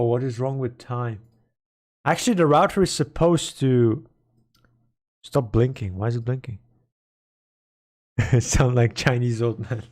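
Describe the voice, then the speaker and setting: A man streaming and chatting casually with his viewers in a rambling, playful, mock-dramatic way, his voice hoarse from a sore throat. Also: medium pitch. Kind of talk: casual livestream chat with viewers.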